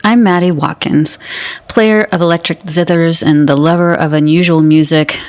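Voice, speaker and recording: Actress as female music radio host, slightly low pitch, earnest, erudite, measured, radio drama presenting. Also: steady tone, darkish tone, close to an everyday voice. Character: music-loving woman hosting a minimalist music radio show.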